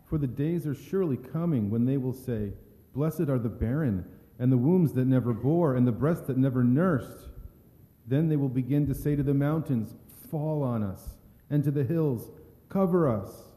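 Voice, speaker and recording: A man's voice: mid-range voice, moderate pace, dark tone, close to an everyday voice. A man playing a solemn, forgiving condemned Jesus in a dramatic scripture reading. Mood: solemn warning. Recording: long echo of a large hall.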